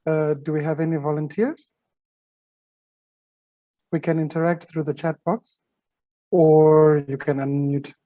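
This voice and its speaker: A workshop facilitator guiding a group exercise on a video call, talking in a halting way with many fillers and restarts. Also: medium pitch, level tone, mellow.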